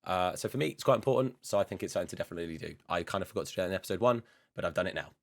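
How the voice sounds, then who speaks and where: A man hosting a gaming channel, hoarse from losing his voice, chatting casually and rambling as he plays a career mode. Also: low voice, animated, racing. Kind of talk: casual gameplay commentary.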